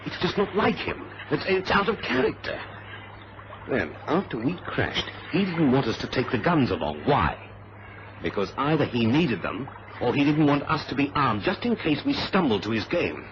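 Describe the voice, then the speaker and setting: An actor playing a suspicious, determined airline man in an old radio adventure, emphatic and halting as he reasons things out. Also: mid-range voice, sing-song, natural voice. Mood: excited deduction. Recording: muffled, noisy vintage radio recording.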